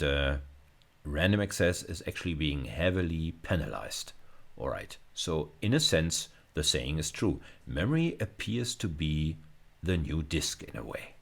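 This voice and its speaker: A man giving a technical video lecture as a university lecturer, explaining things hesitantly with frequent pauses. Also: rumbling low, creaky.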